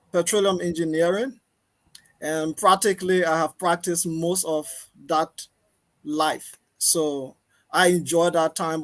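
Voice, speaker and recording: High-pitched Liberian man, deliberate speaker. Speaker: guest, political talk show host. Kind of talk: interview answers, self-introduction.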